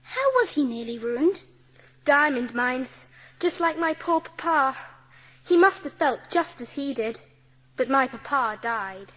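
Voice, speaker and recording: A woman puts on a young orphan girl for a storybook drama, wistful and sorrowful. The voice is high and tender, hanging in a long hall-like reverb. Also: lively intonation, unhurried, a bit bright, full character voice. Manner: sorrowful, musing. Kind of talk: dramatised audiobook acting.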